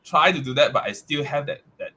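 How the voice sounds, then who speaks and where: A man's voice: fairly high pitch, a little fast, sharp tone. A man with a Malaysian accent, English not his first language, talking casually as a podcast guest with many restarts and fillers. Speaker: guest, tech staff member.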